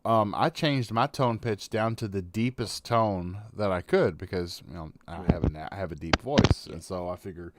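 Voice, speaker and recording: A man hosting a casual gaming podcast, measured, talking things through in long stretches. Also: low voice, heavy background noise. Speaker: podcast host.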